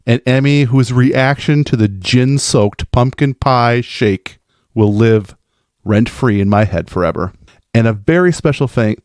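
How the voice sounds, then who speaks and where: A man with a fairly low voice talks in an informal, enthusiastic monologue. He is effusive and playful, with a geeky streak. The sound is dry, with little room around it. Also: darkish tone, dry recording. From American Midwest. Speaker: podcast host sending in a festival recap.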